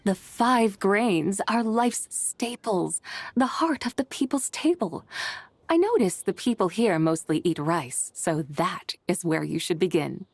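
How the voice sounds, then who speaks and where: Haughty immortal goddess. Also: female voice, mid-range voice, animated, measured pace, no room sound. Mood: lofty, instructive. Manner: haughty, archaic, lecturing diction. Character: proud, stern female immortal adeptus, farming goddess. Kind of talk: game voice acting.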